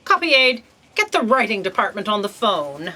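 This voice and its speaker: A woman's voice: medium pitch, very animated, normal speed, heavy background noise, lightly acted. A woman playing an imperious, exasperated boss in a comic audio drama, commanding and sarcastic in a theatrical way. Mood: threatening. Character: imperious exasperated female boss of a bureaucracy of fate.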